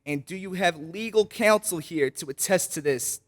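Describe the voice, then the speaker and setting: Man acting a courtroom judge, formal, patient, high-pitched voice, vintage radio drama. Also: moderate pace, close to an everyday voice.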